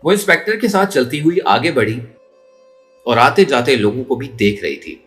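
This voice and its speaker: A man's voice: medium pitch, big pitch swings, normal speed, fairly bright tone, faint music behind. A man narrating a story in a dramatic, emotive and suspenseful way. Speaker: audiobook narrator.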